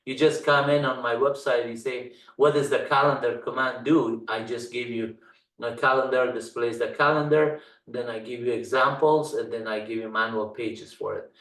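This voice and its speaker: A middle-aged man from Afghanistan whose first language is Dari, giving a screen-share walkthrough as an instructor, with many fillers and halting phrasing. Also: mid-range voice, steady tone, a bit bright, far from the mic. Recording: echoey room, off-mic.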